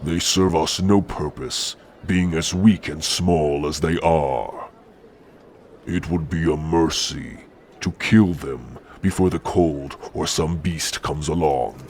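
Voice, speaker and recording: Very deep, imperious orc chieftain. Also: male voice, darkish tone, a little hiss or hum. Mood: imperious, cruel amusement. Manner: imperious, deliberate, disdainful. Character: older male orc chieftain, cruel, imperious, coldly amused. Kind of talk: ASMR roleplay.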